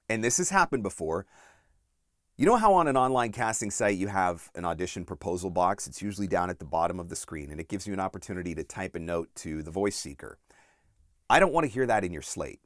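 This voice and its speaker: A man giving instructional tips as a voice-over coach, speaking directly and emphatically with deliberate stress on key points, close on the mic. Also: deep voice, no room sound. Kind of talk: instructional video talk to camera, numbered tips.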